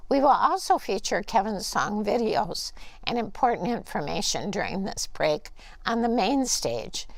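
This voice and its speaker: A woman hosting a conference, reading a prepared introduction of the next speaker in a measured, earnest way. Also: mid-range voice, creaky, no echo, poor audio. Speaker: conference host. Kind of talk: scripted introduction.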